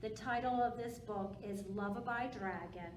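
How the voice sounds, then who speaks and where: A woman's voice: medium pitch, normal speed. A woman presenting a storytime for young children and reading aloud, speaking simply and encouragingly. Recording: roomy echo, distant mic, background noise.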